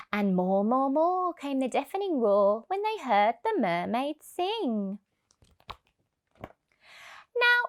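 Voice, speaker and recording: Woman reading a kids rhyming story, slow and sing-song, highish voice. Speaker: storyteller reading to young children. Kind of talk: expressive rhyming picture book reading.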